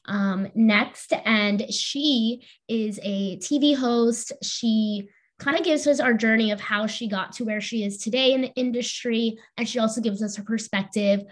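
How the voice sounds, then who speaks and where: A woman's voice: a little high, a little slow. A young adult American woman chatting casually as a podcast co-host. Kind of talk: casual chat, introducing a guest.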